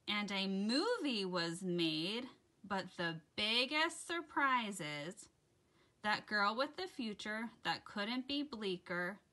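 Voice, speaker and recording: A woman reads a children's story aloud with a lively, swooping pitch and an unhurried pace. Her delivery is dramatic and deliberate, shaped for young listeners, with a bright, clear-cut edge to the tone. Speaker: children's story time host and reader. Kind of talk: expressive read-aloud of a rhyming children's picture book.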